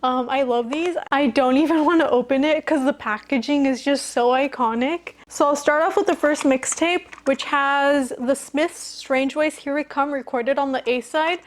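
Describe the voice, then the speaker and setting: A woman's voice: high-pitched, normal speed, hardly any pauses. A woman presenting a collection in a casual show-and-tell style, with enthusiastic, informal phrasing and youthful slang. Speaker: music collecting video host.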